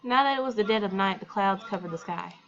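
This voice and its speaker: A woman's voice: medium pitch, fast, a bit bright, highs cut off. A woman reading a visual novel aloud as a let's player, with informal, colloquial reactions along the way.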